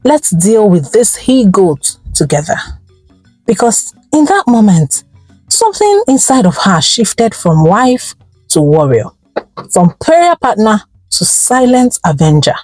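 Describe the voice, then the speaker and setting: A Nigerian woman narrating a folktale in a theatrical, expressive style, drawing out words and exclaiming as she goes. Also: mid-range voice, slow, soft background music, rough recording. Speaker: storyteller. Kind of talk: dramatic folktale storytelling narration.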